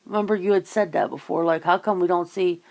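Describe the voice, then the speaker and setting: A woman's voice: fairly low pitch, fairly even intonation, brisk, creaky, a little mellow. A woman in a casual, rambling chat, musing informally as she hosts. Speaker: ASMR channel host chatting with a guest.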